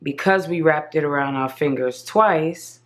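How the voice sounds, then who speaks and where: A woman with a slightly hoarse voice walks viewers through a craft project step by step. She speaks at a slow, unhurried pace in a chatty, casual tone. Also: sits low. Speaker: craft channel host. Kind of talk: casual crafting tutorial voice-over.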